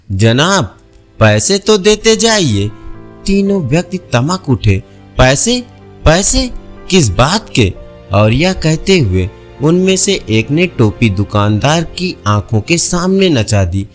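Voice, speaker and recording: A man tells a village folk tale in a fairly high voice. His pitch swings widely from line to line. Soft music plays faintly underneath. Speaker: storyteller. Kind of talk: scripted story narration.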